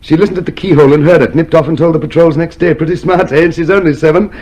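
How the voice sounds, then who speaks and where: Man playing high-voiced, nervous loyalist prisoner. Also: fairly even intonation, very fast, a little mellow, lightly acted. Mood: nervous eagerness. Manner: nervous, stammering, chummy. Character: meek, eager, deluded loyal party man under arrest. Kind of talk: radio drama acting.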